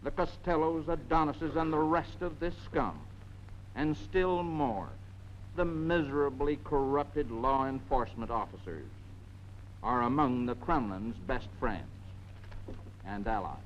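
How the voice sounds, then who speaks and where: An American man with a mid-pitched voice delivers a formal statement. He is grave and oratorical, heard on a dull 1950s newsreel recording with faint noise. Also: a little slow. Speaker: former ambassador, anti-crime committee head. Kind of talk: formal prepared public statement.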